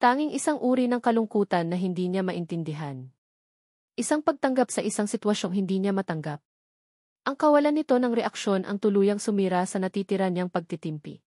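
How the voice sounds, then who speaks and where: A woman's voice: medium pitch, animated, brisk, mellow, no room sound. Dramatic woman audiobook narrator, dry sound. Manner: dramatic, emphatic phrasing. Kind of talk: scripted dramatic story narration.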